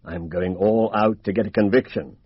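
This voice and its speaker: An actor playing a blunt, down-to-earth British police inspector in a radio mystery, speaking colloquially and with stubborn confidence. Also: male voice, fairly low pitch, moderate pace, slightly rough, no room sound. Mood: briskly confident. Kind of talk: radio drama acting. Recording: dull, dry radio drama recording.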